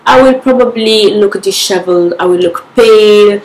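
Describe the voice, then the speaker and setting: A woman's voice: medium pitch, darkish tone. A young woman vlogger giving frank advice to the camera from her living room, sounding tired and a bit nasal from a cold. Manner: frank, unhurried, with small restarts. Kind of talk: casual advice talk to camera. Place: living room with sofa, indoors. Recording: echoey room.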